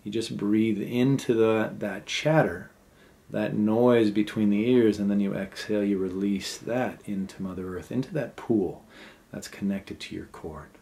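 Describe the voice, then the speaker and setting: A man leading an unscripted guided meditation in a gentle, unhurried way, pausing between short phrases. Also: fairly low pitch, creaky, slight background noise.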